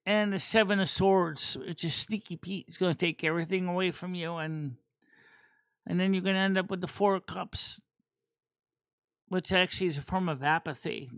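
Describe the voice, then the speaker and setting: High-voiced man rambling on a stream. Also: fairly bright tone, clear, resonant tone, no echo. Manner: rambling and halting, with many fillers and restarts. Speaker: host. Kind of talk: casual livestream chat about tarot cards.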